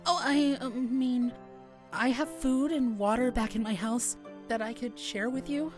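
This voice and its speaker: A woman voicing a shy, fragile young boy in a game, timid and halting. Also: fairly high pitch, soft background music, pitched above the performer's own voice, close to an everyday voice. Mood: shyly eager. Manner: timid, halting, soft-spoken.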